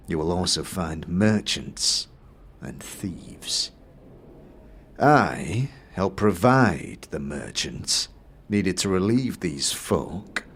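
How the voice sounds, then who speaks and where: A man voicing a disdainful, calculating moneylender in a fantasy game audio series, with a condescending, drawn-out and measured delivery. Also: sits low, husky, full character voice. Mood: cynical. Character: disdainful, calculating male moneylender, smug and snobbish.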